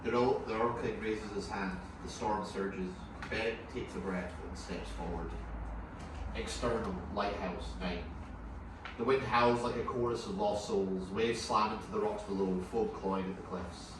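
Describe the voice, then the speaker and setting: Male narrator for a table read: very low voice, scripted stage directions, heavy echo and background noise. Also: steady tone, moderate pace. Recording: very echoey room, far mic, clear noise.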